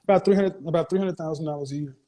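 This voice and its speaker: A man's voice: on the high side, very fast, dark tone. A man hosting a call-in advice show, questioning a caller bluntly and cutting in often. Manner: blunt, interrupting, interrogating. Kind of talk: call-in interview.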